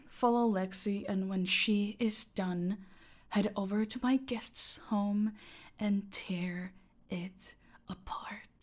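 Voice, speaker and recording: Audio roleplay villain: actress as a gloating female cartel boss, gravelly fry, unhurried, menacing, slipping into low-volume murmurs. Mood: cold commanding. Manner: slow, taunting, commanding, often dropping to breathy murmurs.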